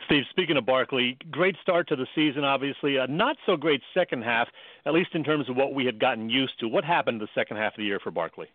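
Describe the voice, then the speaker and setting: A man speaks briskly through tinny, phone-like audio. He is a co-host on sports radio, asking his guest questions in a casual interview style. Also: mid-range voice, no echo. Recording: dry, phone-like narrow band.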